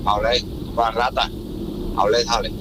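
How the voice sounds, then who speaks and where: A man's voice: mid-range voice, level tone, normal speed. A man telling a colloquial complaint on a radio show, bluntly and with repeated phrases. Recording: very noisy street with traffic.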